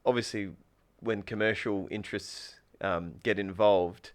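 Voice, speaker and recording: A man's voice: on the low side, steady tone, a little slow. A man, a guest on a casual podcast, who thinks aloud in long, wandering answers and restarts his sentences often. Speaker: podcast guest, spearfisher and food truck owner.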